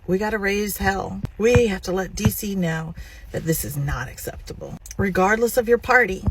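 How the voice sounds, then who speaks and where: A woman's voice: mid-range voice, moderate pace, very noisy. A woman speaking candidly and earnestly in a personal monologue, plain-spoken and impassioned. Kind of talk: candid emotional monologue to camera about personal finances.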